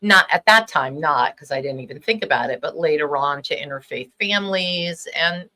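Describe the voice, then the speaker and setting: A woman's voice: low voice, lively intonation, moderate pace, bright, forceful tone. A middle-aged woman rabbi from Los Angeles whose first language was Hebrew, reflecting on her life with many ums. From Los Angeles, USA. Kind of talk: personal storytelling.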